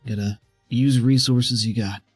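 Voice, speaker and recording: A pragmatic, worldly survivor played by a man talks matter-of-factly in a tabletop game. The voice is of average pitch, close and dry, over faint music. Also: fairly even intonation, moderate pace, slightly rough, no echo, close to an everyday voice.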